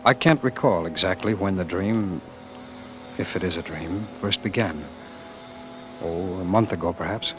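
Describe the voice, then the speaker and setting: An actor playing a weary, defiant former world ruler in love, with halting, theatrical delivery in the style of old radio drama. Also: male voice, low-pitched, over music. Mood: haunted, reflective. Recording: noisy vintage radio broadcast.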